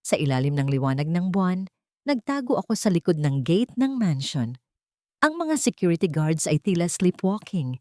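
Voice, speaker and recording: A woman's voice: lowish voice, sing-song, moderate pace, warm tone, no echo, studio quality. A woman with a Filipino accent giving dramatic, scripted first-person story narration.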